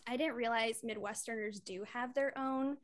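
Brief: high-pitched young woman from Iowa, animated podcast co-host telling stories. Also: normal speed. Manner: animated storyteller, acts out quotes. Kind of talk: casual chat.

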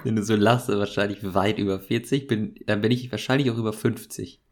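A man speaking, joking around during a guessing game and giving quick, short answers. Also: on the low side, fairly even intonation, darkish tone. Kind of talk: casual guessing game chat.

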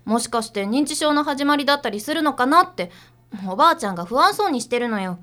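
A woman plays a young underground idol, perky and pushy. The voice is high and bright. The delivery is girlish and breezily familiar, in radio drama style. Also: animated, fast. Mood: confiding.

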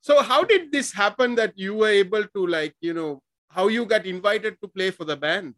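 A man's voice: sits high, expressive, moderate pace, a bit bright, no room sound. A man from Sri Lanka hosting an interview, chatting casually and often giving short agreements. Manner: friendly, frequent short agreements. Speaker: podcast host.

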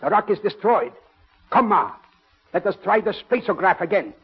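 A grave commander. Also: male voice, sits high, sing-song. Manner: grave, measured theatrical delivery. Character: male scientist commander, grave and decisive. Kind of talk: 1930s radio acting. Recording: dull old radio recording with faint noise.

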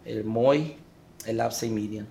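A man's voice: medium pitch, gravelly, dark tone, slight background noise. Reflective middle-aged man. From Mexico. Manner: reflective, halting, emotional. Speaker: guest, father. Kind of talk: interview, personal story.

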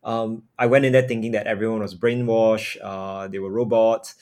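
Low-voiced man from Singapore, thoughtful. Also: fairly even intonation, moderate pace, darkish tone. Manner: thoughtful, restarts sentences, many fillers. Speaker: podcast guest, nonprofit founder. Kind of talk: conversational interview answers.

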